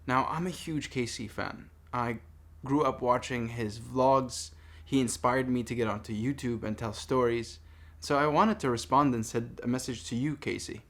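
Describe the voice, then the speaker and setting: A man's voice: mid-range voice, moderate pace, hardly any pauses. Earnest man in his thirties from Palestine. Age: 30s. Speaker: vlogger making a response video. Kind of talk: personal monologue addressed to camera.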